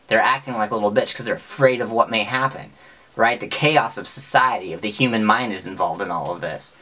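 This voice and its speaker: Bright, deep-voiced young American man. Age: young adult. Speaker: vlogger. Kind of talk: casual comedic monologue to camera. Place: small bedroom with bunk bed, indoors.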